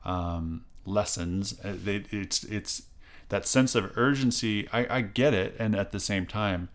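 A middle-aged man with a very deep voice co-hosts a parenting show in a casual, reflective way. He thinks aloud as he talks, halting often with fillers and restarting his sentences. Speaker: parenting podcast co-host. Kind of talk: casual conversation.

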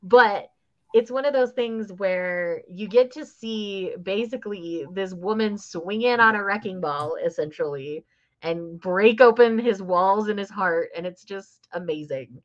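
A woman co-hosting a casual book podcast, talking with enthusiasm, laughing at herself and trailing off softly at the ends of thoughts. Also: medium pitch, unhurried. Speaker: podcast co-host. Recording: slightly dull lo-fi sound.

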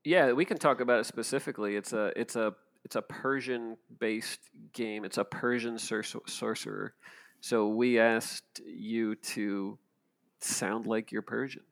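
Man, American accent, game developer, host, casual chat, animated storytelling, wry. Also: on the low side, unhurried, gravelly. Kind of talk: casual conversation.

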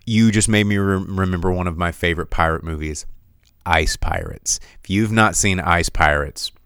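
A man's voice: low voice, fairly bright tone, clear, resonant tone, no room sound, studio quality. A man hosting a casual live-streamed podcast, rambling from thought to thought with lots of restarts and reading out viewer comments. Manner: rambling, digressive, many restarts. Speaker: podcast host.

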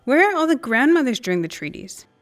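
A woman's voice: high voice, sing-song, fast. A woman playing a candid, indignant friend in a naturalistic audio drama conversation. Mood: questioning. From Canada, Saskatchewan.

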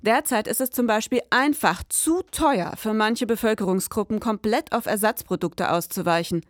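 A woman's voice: medium pitch, no room sound, close to an everyday voice. Dry-recorded actress as formal female detective. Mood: sober, precise. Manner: formal, matter-of-fact, measured wording. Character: precise, sober female detective, formal noir narrator. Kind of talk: scripted detective audio drama.

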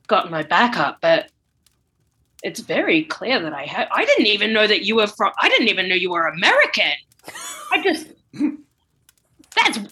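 A woman's voice: medium pitch, sharp tone, slight background noise. A woman playing a chatty, oblivious old wizard headmaster in an improvised comedy sketch, stammering and slangy.

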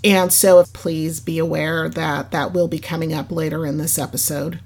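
Woman podcast host, scratchy voice, slightly low pitch, rambling casual monologue. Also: flat delivery, moderate pace, a little mellow. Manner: rambling, chatty, laughs at herself.

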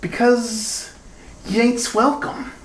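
A woman voicing a hissing male gangster gambler in an amateur retro radio drama, who talks slow, rough and ungrammatical. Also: a little high, little pitch movement. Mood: menacing. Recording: echoey room, off mic, faint noise.

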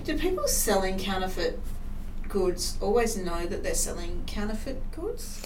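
A woman's voice: medium pitch, sing-song, unhurried, a little mellow. A woman with an Australian accent hosting a casual interview, reflective and thinking aloud as she talks. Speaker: podcast host, university teacher. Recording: echoey room, distant mic, faint noise.

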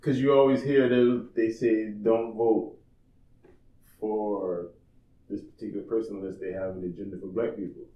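A man's voice: lowish voice, fairly even intonation, normal speed. A man co-hosting a podcast in a casual, conversational style. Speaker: podcast co-host. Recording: dull, echoey room recording.

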